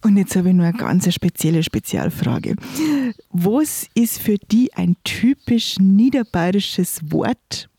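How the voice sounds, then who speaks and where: Brief: woman, podcast host, measured questions, mellow and dry. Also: fairly low pitch, animated, vocal fry, slightly rough, no echo. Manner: measured, asks questions with fillers. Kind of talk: interview questions.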